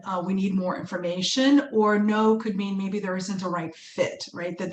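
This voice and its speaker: Middle-aged woman from Uganda, webinar instructor, upbeat and encouraging, loose with fillers; video-call audio in an echoey room. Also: medium pitch. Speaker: workshop presenter and fundraising instructor. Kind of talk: live webinar teaching. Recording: echoey room with faint ambient noise.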